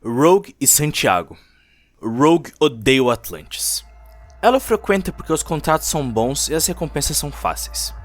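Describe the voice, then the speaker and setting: A man's voice: fairly high pitch, very animated, moderate pace, no echo. A man with a Brazilian Portuguese accent giving dramatic scripted narration of a cyberpunk story. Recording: music under the voice.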